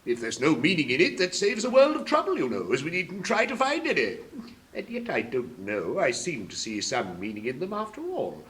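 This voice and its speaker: A woman's voice: low-pitched, huge pitch swings, moderate pace. A woman voicing a muddled, self-important old King in a storybook reading, with pompous, flustered manner and sudden shifts from barked orders to muttering. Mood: musing.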